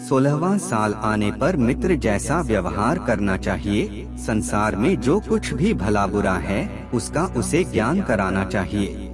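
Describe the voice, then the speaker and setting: A man reading scripted moral maxims as a narrator, in a measured, instructive manner. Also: lowish voice. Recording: clear background music under voice. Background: music.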